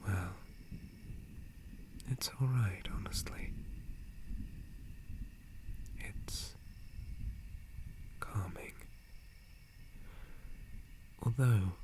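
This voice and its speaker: A man playing a gentle, calm, friendly dryad forest guardian in a breathy ASMR whisper, with a soothing manner. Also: lowish voice. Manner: slow, soothing, unhurried. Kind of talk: ASMR roleplay.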